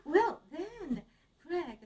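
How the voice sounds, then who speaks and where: Woman host, Japanese native, high voice, halting simple English, sounds off-mic. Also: very animated, a little mellow, recorded from a distance. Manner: simple halting English, friendly short questions. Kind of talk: casual interview for English learners.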